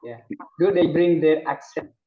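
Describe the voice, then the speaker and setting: A man moderating a webinar, asking the guest a question in a halting way with many pauses. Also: a little high, phone-quality sound.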